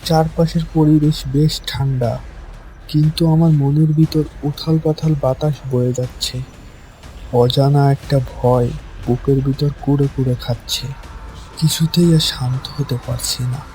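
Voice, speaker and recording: A man plays a frightened young grandson in a horror cartoon. His voice is fairly high yet soft-edged and mellow, dramatic and hesitant, with faint music behind it. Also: steady tone, unhurried, close to an everyday voice, rough recording. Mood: anxious narration. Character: frightened, bewildered young grandson telling his ghost story. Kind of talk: first-person horror cartoon narration and dialogue.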